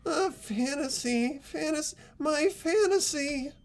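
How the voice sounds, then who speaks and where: High, whiny creepy nerd guy. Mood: flustered longing. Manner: drawn-out, stammering, whiny. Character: creepy, whiny nerdy male figurine artist, smitten with fantasy. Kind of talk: fan-dubbed video game character voice.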